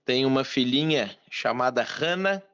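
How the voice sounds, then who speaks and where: A man in his thirties from Minas Gerais, a pastor and guest, telling his story in a measured, earnest way with frequent restarts. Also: medium pitch, animated, fairly bright tone, clean tone, no room sound, muffled recording. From Brazil, Minas Gerais. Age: 30s.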